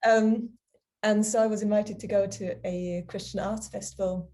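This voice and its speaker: A woman's voice: mid-range voice, steady tone, normal speed, warm tone. A woman, answering interview questions in a hesitant, casual way with many ums and easy laughter. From Germany. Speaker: guest, charity founder.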